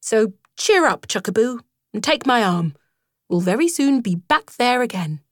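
A hearty, resolute water rat with a fairly high, lively voice that jumps around in pitch, voiced by a woman reading a storybook. She coaxes and cheers in a brisk, friendly way. The recording is close and dry. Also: dry recording. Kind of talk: storybook character voice.

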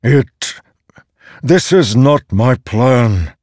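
A man's voice: sits low, slow pace, darkish tone, breathy edge, no echo, clean studio sound, exaggerated voice. A man voicing an ancient godlike storm spirit in a fantasy audiobook, stern, imperious and accusatory. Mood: defensive. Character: thundering, ancient, godlike storm spirit, male, domineering and disapproving. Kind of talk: dramatic fantasy audiobook character.

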